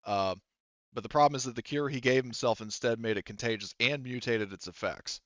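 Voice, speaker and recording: A man running a tabletop roleplaying game as game master, explaining the scene in halting, unscripted narration. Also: mid-range voice, a bit bright, dry recording, lo-fi sound.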